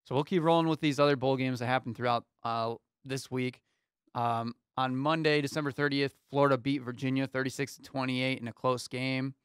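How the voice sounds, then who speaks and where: A young man co-hosts a sports show from a small foam-paneled studio, talking into a close studio mic. His voice is smooth and resonant, and the sound is dry. He moves through scores in a steady, easygoing rundown. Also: medium pitch, brisk, no room sound. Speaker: sports talk show co-host. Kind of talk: casual sports chat. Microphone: studio mic on arm, headphones.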